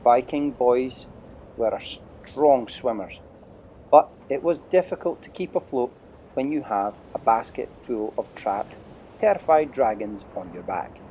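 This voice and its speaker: A man's voice: medium pitch, expressive, measured pace, mellow, slight background noise. A man narrating an adventure storybook dramatically as part of an audio play.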